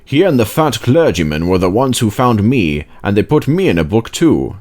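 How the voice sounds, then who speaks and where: A man's voice: on the low side, sing-song, moderate pace, darkish tone. A man voicing a grave, knowing, dignified old male steam engine in a children's storybook.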